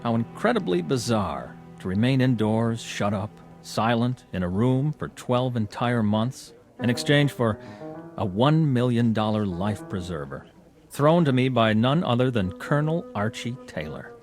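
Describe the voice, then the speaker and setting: A proud gentleman of the club in an audio drama. His mid-pitched voice moves expressively through wordy, wryly bitter lines, with a touch of music underneath. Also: lively intonation, unhurried. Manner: formal, theatrical, wordy. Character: proud, debt-ridden clubman, wryly bitter and defiant. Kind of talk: modern audio drama acting.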